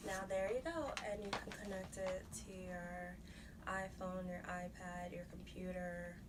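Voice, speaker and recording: A young woman with a soft voice, unboxing items for her vlog, talking slowly and drifting into whispers. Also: on the low side, fairly even intonation, recorded from a distance, heavy background noise. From United States. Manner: slow, breathy, drifts into whispers. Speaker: vlogger.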